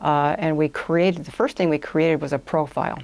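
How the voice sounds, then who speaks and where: Low-voiced older woman with creaky edge. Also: steady tone, normal speed, darkish tone. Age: older, about 60s or 70s. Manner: explanatory, step-by-step. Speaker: guest, language institute owner. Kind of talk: conversational interview answers. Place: small indoor podcast studio.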